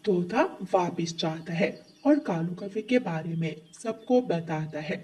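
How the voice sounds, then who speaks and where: A woman's voice: fairly low pitch, expressive, unhurried, mellow, distant mic. A woman giving scripted narration for a children's story.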